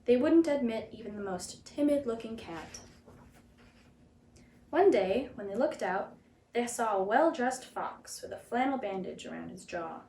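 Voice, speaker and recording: A woman narrating a children's story as a reader, in an expressive storytelling style. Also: a little high, a little slow, vocal fry. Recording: echoey room, faint background noise.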